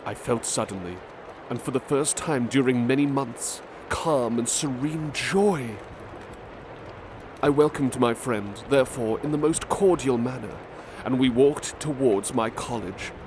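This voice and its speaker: A man giving a measured, gentle ASMR story reading, playing a butler reading aloud, over loud street ambience. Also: mid-range voice, background noise.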